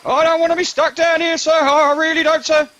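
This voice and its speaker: A man playing a panicky, excitable old corporal in a comic radio play. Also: very high voice, a little fast. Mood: panicking.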